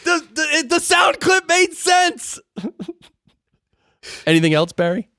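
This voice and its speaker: A man hosting a casual interview, animated and curious, often restarting his sentences. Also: very high-pitched, takes it slowly, no room sound, studio quality. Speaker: podcast host and interviewer.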